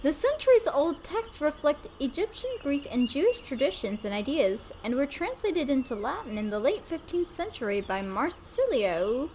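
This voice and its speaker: An earnest, bookish young artist from a historical game, as a woman reads his lines aloud. The voice is high and lively, bounding up and down, and it trips over the long scholarly names. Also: moderate pace, hardly any pauses, slight background noise. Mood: earnest reading. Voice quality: reads in her own voice, stumbling over hard names.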